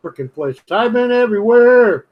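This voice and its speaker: A man's voice: very high-pitched, lively intonation, normal speed, phone-quality sound. A man with a slight Brooklyn-ish accent chatting casually, blunt and grumbling, swearing freely. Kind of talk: casual late-night chat.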